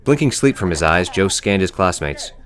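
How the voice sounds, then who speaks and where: A man's voice: sits low, lively intonation, normal speed, a bit bright. A man narrating an audiobook story in a scripted storytelling style.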